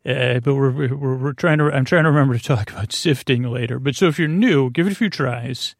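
A man with a creaky voice hosting a bedtime podcast in a meandering, halting, soothing monologue, often repeating himself and trailing off. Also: dry recording, clean studio sound. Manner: soothing, low-pressure. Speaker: podcast host. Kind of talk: rambling bedtime monologue to help listeners sleep.

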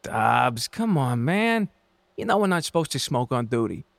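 A man playing an eager young soldier in a period audio drama, with excitable, folksy slang. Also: mid-range voice, moderate pace, gravelly, dry recording. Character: eager, rule-abiding young male soldier, boyish.

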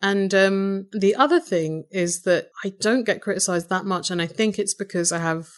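Woman, English accent, low voice, vocal fry, articulate, wry, interview guest. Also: moderate pace. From Britain. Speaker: podcast host, interview guest. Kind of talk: conversational interview.

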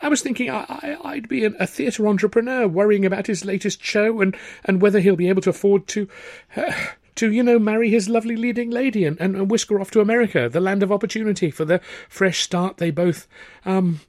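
A man's voice: high-pitched, fairly quick, darkish tone, no echo, clean studio sound, voice pushed up. A man voicing a nervous young man who stammers and trips over his words in an audiobook. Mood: stammering. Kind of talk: audiobook character voice.

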